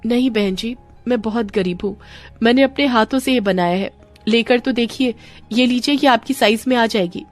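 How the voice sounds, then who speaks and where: A woman's voice: a little high, moderate pace, voice pushed up, close to an everyday voice. A woman voicing a poor, hardworking young mother who sells on the street, speaking earnestly and emotionally in an audio storybook, with music behind. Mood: pleading. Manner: earnest, pleading, polite, emotional. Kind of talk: acted dialogue in audio storybook.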